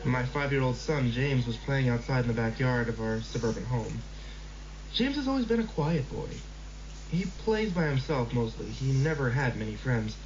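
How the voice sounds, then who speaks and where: A man's voice: medium pitch, expressive, background noise. Man narrating a horror story. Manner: measured, slow in tense passages. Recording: slightly dull lo-fi recording with music.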